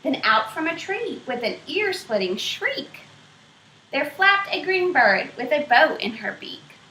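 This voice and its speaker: A woman reading a picture book aloud to children in an expressive way, stopping to ask them questions. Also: sits high, slight background noise. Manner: expressive, pausing to quiz young listeners. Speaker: storybook reader. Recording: roomy echo.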